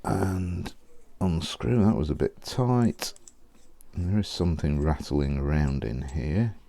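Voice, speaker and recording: A man talking casually while unboxing and looking over a pen, rambling and correcting himself, clearing his throat often as he loses his voice. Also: very deep voice, takes it slowly, mellow. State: losing his voice. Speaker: fountain pen reviewer.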